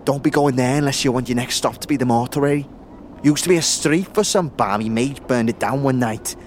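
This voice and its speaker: A man's voice: medium pitch, a little fast, a little mellow, slight background noise, close to an everyday voice. A man playing a scrawny, nervous young slum dweller in an audio drama, stammering and wary, talking in street slang. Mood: warning, guarded.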